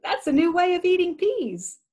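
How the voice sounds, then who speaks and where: A woman's voice: very high voice, sing-song, normal speed, darkish tone, voice pushed up. A woman voicing a friendly, eager young male sailor in a storybook read-aloud. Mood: admiring.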